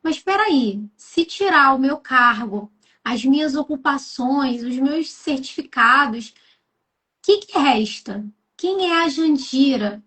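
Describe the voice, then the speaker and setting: A woman with a clear Carioca accent speaks as a guest on a live talk. Her voice is high-pitched, and her manner is earnest and reflective as she recounts her own experience at length. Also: animated, takes it slowly, fairly bright tone. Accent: Carioca, Rio de Janeiro. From Rio de Janeiro, Brazil. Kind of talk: personal storytelling in conversation.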